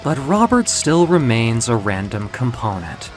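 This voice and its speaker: A man playing a commanding, calculating villain in an audio comic, speaking in a measured, pompous way over music. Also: medium pitch, big pitch swings.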